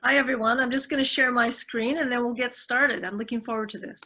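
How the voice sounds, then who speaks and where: Canadian woman prof on a zoom call, bright high voice, super upbeat and chatty. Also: fairly even intonation, a little fast. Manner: upbeat and enthusiastic, chatty and personal. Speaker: professor, guest speaker. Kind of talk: lecture presentation. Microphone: video call.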